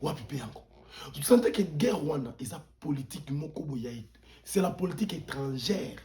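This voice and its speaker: Indignant Congolese man, mellow-toned, preaching politics. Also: on the high side, animated, moderate pace. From Democratic Republic of the Congo. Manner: emphatic, indignant, repeats words for stress; switches between languages mid-sentence. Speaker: pastor, interview guest. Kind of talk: impassioned political commentary in an interview.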